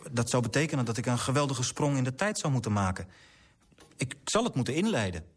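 An actor in a radio drama playing an earnest, idealistic clerk, formal and articulate, politely persistent. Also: male voice, mid-range voice, animated, fast talker, natural voice. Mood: grave, narrating.

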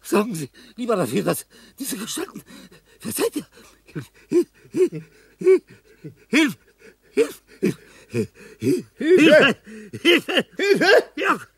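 An actor playing a dying, lonely old artist in a radio play, delirious and fearful, in a husky, breathy voice that speaks in halting fragments. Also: male voice, very high voice, wildly swooping pitch, fairly bright tone, dry recording, full character voice. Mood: panicked. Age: older. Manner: halting fragments, whispers rising to frantic cries. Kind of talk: German radio play acting.

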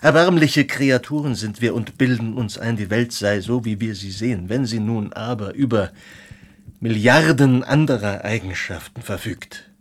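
An actor playing a tipsy, impassioned Romantic artist in a radio drama, declaiming bitter philosophy with halting dramatic pauses. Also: male voice, mid-range voice, close to an everyday voice. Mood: brooding, questioning. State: drunk. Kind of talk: German radio drama acting.

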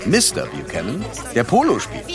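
An actor playing a courteous, ceremonious and slightly halting wealthy male party host in a radio play. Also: mid-range voice, wildly swooping pitch, music in the background. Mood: polite, proud. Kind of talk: German radio play acting.

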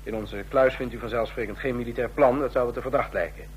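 An actor in a radio play playing a stern, condescending ambassador of a fascist state, speaking in formal, measured officialese. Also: male voice, mid-range voice, steady tone, close to an everyday voice. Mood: businesslike, commanding. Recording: clear hum and noise, old radio recording.

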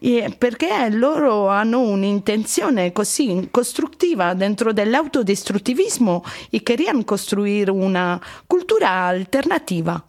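A woman voicing an Italian researcher who mixes Italian and Spanish, with an Italian accent, halting and searching for her words in a comedy radio sketch. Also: medium pitch, lively intonation, a little mellow. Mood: earnest.

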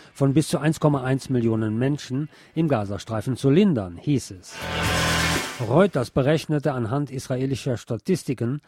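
A man reading scripted radio news in a measured, formal manner. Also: mid-range voice, warm tone, slightly rough, no room sound.

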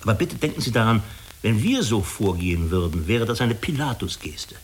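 Radio drama official: diplomatic persuader with animated intonation, faint noise on the recording. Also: male voice, fairly low pitch, moderate pace. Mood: earnest, persuasive. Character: smooth, persuasive male official of an international agency. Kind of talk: German radio drama acting.

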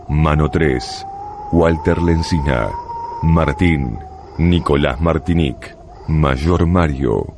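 Very deep, slow-speaking male announcer. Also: background noise. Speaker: announcer reading cast and crew credits. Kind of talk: scripted radio drama credits announcement.

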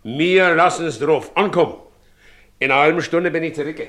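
A man's voice: on the high side, moderate pace, background noise, lightly acted. An actor playing a plain, conciliatory working countryman in a radio play, colloquial and. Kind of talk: German radio play acting.

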